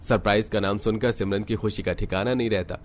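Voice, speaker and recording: A man's voice: on the low side, steady tone, a little fast, a little hiss or hum. A man narrating a scripted cartoon family story.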